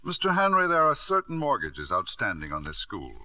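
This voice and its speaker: An actor playing a ruthless self-made millionaire father, narrating and acting in a 1940s radio drama with a crisp, sardonic delivery. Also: mid-range voice, huge pitch swings, a little fast, pushed and bright, no room sound, full character voice. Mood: cool menace. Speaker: narrator and lead character. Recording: dry old radio broadcast.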